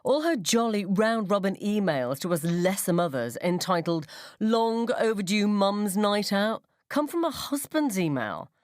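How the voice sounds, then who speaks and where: A woman's voice: medium pitch, sing-song, measured pace, no room sound, studio quality, pitched above the performer's own voice. A woman playing a scornful, gossipy mother at the school gates in a radio story, with an emphatic, mocking drawl.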